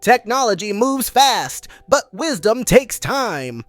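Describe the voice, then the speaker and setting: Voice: mid-range voice, big pitch swings, takes it slowly, no echo, soft background music. An actor playing a genial puppet show host, making theatrical scripted introductions. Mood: genial, grand. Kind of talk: scripted comedy presenting.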